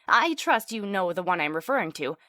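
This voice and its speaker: A woman's voice: medium pitch, sing-song, a little fast, pushed and bright, no room sound, studio quality. A woman playing a polite, chatty elven sorceress and scholar in a fantasy audio drama. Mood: formal. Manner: chatty, courteous, formal wording.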